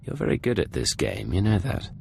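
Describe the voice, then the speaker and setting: A man's voice: sits low, huge pitch swings, fairly quick, vocal fry, darkish tone, slight background noise, heavily acted. A man playing an unsentimental, sardonic secret agent in a video game, with a dry, mocking delivery. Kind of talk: scripted video game acting.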